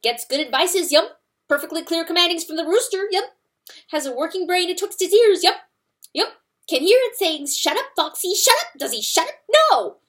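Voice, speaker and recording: A woman putting on a furious male weasel, shouting in mangled grammar and pitched well above her own voice. He rants in scornful bursts that swing up and down. This is storybook narration. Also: lively intonation, normal speed, few pauses. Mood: ranting.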